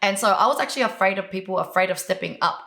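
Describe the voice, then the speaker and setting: A woman's voice: mid-range voice, expressive, racing, gravelly, bright, forceful tone, reverberant. A middle-aged woman from Vietnam, the show's host, answering interview questions in a chatty way and laughing now and then. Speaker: host, business coach.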